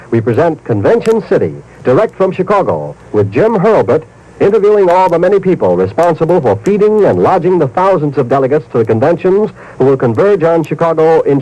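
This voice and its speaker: A formal male radio announcer from the 1950s reads scripted copy with very lively intonation. His tone is mellow and fairly high, on a slightly dull vintage broadcast.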